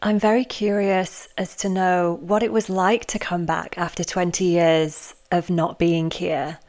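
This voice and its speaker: A woman hosting a podcast and asking interview questions with eager curiosity, speaking in quick bursts. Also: fairly low pitch, flat delivery, a little mellow. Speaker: podcast host.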